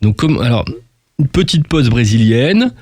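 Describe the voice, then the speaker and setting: A man's voice: medium pitch, sing-song, unhurried, mellow, dry recording. Effusive male radio host, dry close sound. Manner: effusive, teasing, slangy hype. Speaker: radio host interviewing a singer guest. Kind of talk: casual, chatty radio interview. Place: radio studio.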